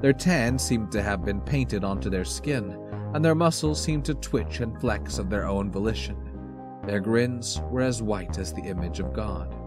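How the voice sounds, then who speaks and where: A man narrating a scripted short story in an expressive reading style. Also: fairly low pitch, moderate pace. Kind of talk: animated scripted fiction narration. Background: music.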